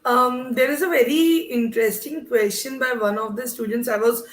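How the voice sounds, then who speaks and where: A woman's voice: a little high, fairly even intonation, normal speed. A woman hosting and interviewing conversationally, recorded with an echoey, roomy sound. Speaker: workshop host.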